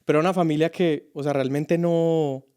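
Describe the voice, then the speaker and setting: A man from Colombia, a youth pastor telling his story as a podcast guest, reflective and halting. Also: a little high, fairly even intonation, dark tone, clear, resonant tone. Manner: reflective, halting with many restarts. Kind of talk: casual podcast conversation.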